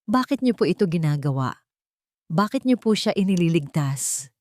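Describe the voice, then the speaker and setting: A woman's voice: lowish voice, big pitch swings, moderate pace, a little mellow, no echo, studio quality. A woman voicing a shocked young storybook character who speaks hesitantly, stammering in disbelief. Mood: pleading, bewildered.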